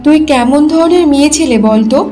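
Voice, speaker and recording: A woman voicing a spiteful older mother-in-law in a cartoon folk tale, nagging and accusing with a sharp tongue. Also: high-pitched, a little fast, music in the background, natural voice. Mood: scolding.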